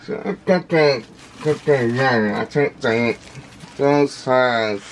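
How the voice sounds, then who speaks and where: Man, slow pace, medium pitch, labored indistinct articulation, halting repeated words, casual unboxing vlogger. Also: background noise. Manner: halting, repetitive, fragmented phrasing with effortful delivery. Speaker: vlogger unboxing audio gear. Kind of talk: casual unscripted product commentary.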